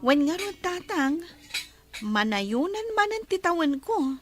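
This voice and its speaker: A woman playing a high-voiced cheerful daughter. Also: sing-song, slow, a bit bright, soft background music, exaggerated voice. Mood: affectionate. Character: cheerful young village daughter.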